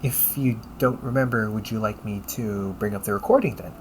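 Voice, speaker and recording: A man voicing an upbeat, teasing AI companion in an audio roleplay, polite and fussily helpful, with a gently nagging manner. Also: on the low side, brisk, a little hiss or hum, close to an everyday voice. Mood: helpful, chatty. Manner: polite, chirpy, gently nagging, butler-like phrasing. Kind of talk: scripted audio roleplay addressed to the listener.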